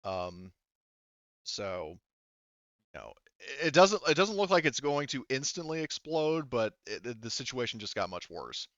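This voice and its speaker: A man working as game master, narrating a tabletop roleplaying game casually and haltingly as he thinks aloud over the dice. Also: medium pitch, very animated, a bit bright, no echo. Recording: slightly muffled dry voice recording.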